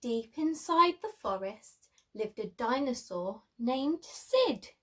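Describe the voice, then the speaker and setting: A woman's voice: a little high, expressive, slow, sharp tone. A woman preschool teacher reading a story aloud, speaking expressively in simple phrases to small children.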